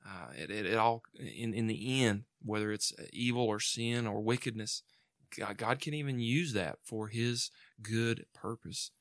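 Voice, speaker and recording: American guy, kinda low voice, preachy in an earnest pastoral way, no echo at all. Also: a little slow, fairly bright tone, studio quality. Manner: earnest, exhortative. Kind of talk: pastoral talk.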